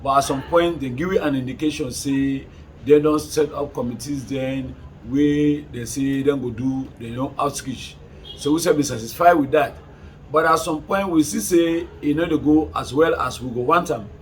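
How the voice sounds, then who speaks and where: Krio-speaking official, 40s, measured answers, voice on the higher side, faint noise. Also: male voice, rough recording. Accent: Sierra Leonean Krio. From Sierra Leone. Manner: measured, explanatory, with fillers. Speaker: council chairman. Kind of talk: interview answer. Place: office, indoors.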